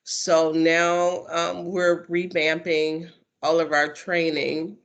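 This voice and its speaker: A woman training coordinator introducing herself on a video call, speaking slowly and deliberately. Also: deep voice, flat delivery. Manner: slow and deliberate, occasional laughs.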